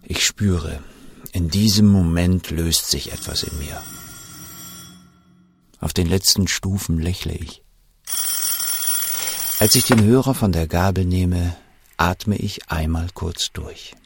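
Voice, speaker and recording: An actor playing a shaken German official who recalls a wartime night in measured, suspenseful first-person audio drama narration. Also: male voice, deep voice, a little hiss or hum. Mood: tense, introspective.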